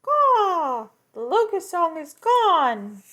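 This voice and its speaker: A woman voicing a nosy talking crow in a children's story, loud and squawking with drawn-out caws. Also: extremely high pitch, sing-song, voice pushed up, heavily acted. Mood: squawking, insistent. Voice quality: cawing, squawking.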